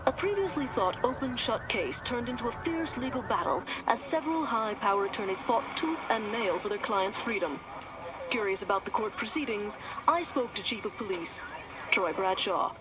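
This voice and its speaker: A woman playing a brisk, formal, inquisitive television news reporter in a video game cutscene. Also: fairly high pitch, lively intonation, lightly acted. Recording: noisy outdoor street background.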